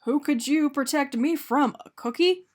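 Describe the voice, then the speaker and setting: A woman's voice: sits high, normal speed, voice pushed up, lightly acted. A woman voicing a fussy, polite and kindly man in a picture-book story, speaking in a matter-of-fact way. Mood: fussy, dismissive. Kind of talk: animated picture-book character reading.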